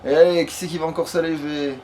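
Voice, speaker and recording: A man with a fairly high voice plays a game and comments casually as he goes. His talk is crude and full of swearing. There is slight background noise behind him. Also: fairly even intonation, fast talker, warm tone. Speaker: let's player.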